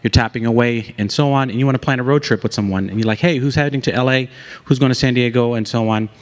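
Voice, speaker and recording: A man's voice: medium pitch, quick pace. A man presenting a hackathon project on stage in a joking, conversational way. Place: conference stage.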